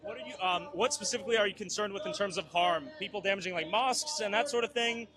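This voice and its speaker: A man's voice: high voice, quick pace. A man working as a street interviewer, putting probing questions. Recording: noisy outdoor street sound.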